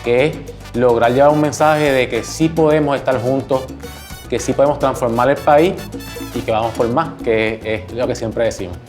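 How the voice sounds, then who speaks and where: A man's voice: medium pitch, moderate pace, a little mellow. A man talking in a documentary interview. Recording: music playing behind the voice.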